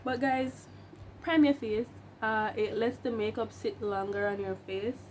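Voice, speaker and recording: A young woman, hoarse while getting over the flu, talks through a makeup tutorial in a chatty, emphatic way with joking asides. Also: a little high, steady tone, unhurried, slight background noise. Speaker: makeup vlogger, licensed cosmetologist.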